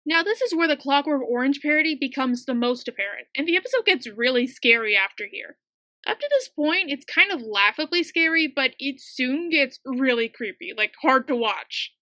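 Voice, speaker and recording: High, bright-voiced young woman reviewer. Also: lively intonation, normal speed, no echo. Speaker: video reviewer. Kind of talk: casual scripted review narration.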